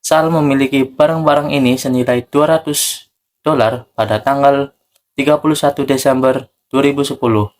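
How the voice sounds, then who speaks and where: Young guy student reading through a lesson example, medium pitch. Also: fairly even intonation, moderate pace. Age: young adult. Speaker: student presenter.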